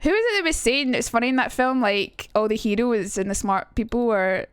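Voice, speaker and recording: A young woman talks her way through a casual stream lesson, hopping between chat messages and her own points. Her voice sits on the high side and sounds dry and close, with no room around it. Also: expressive, a little fast, clear, resonant tone, dry recording. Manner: chatty, reads chat aloud, jumps between topics, swears. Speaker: streamer teaching accents.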